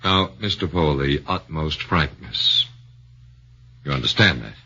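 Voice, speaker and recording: A probing defense lawyer. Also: male voice, extremely low pitch, animated, pushed and bright, husky, exaggerated voice. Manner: deliberate, probing, formal. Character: measured middle-aged defense lawyer. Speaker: radio drama actor. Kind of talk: 1940s radio acting. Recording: lo-fi 1940s radio broadcast.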